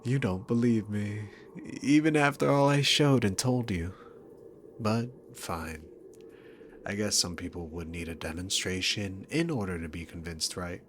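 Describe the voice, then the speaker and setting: A man's voice: fairly low pitch, measured pace, slight background noise, close to an everyday voice. A man voicing a dominant, sarcastic demon king in an ASMR roleplay, taunting the listener with theatrical, arrogant condescension. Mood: haughty.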